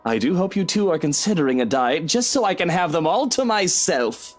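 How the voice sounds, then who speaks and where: Luke, a wildly swooping, cheeky husband. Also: male voice, a little high, sing-song, a little fast, faint music behind. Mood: playful, teasing. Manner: playful, flamboyant, drawling. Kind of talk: visual novel voice acting.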